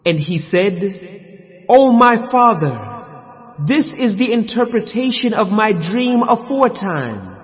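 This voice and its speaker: A man with an American accent reading scripture translation in a solemn, measured, declamatory way with emphatic phrases. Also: sits high. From USA. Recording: long reverb, slightly muffled sound.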